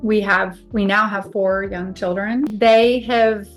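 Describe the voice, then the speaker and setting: A middle-aged American woman speaks as an interview guest, introducing herself in a conversational way. Her voice sits at a medium pitch for a woman. Music plays faintly behind her. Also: fairly even intonation, takes it slowly, rough recording. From Kansas, United States.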